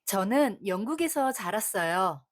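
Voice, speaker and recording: A woman hosting an English lesson, reading prompts quickly and repeating English sentences slowly and carefully. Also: medium pitch, animated. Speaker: host and English learner. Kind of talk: repeating practice sentences aloud.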